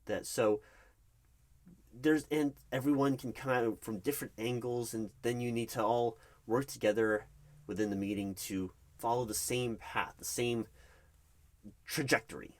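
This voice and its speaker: A man acting as a nervous, polite recruiting manager in a one-sided ASMR job interview roleplay, speaking haltingly and questioningly. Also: mid-range voice, fairly even intonation. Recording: clear background noise, keyboard typing. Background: loud computer keyboard typing and clicks.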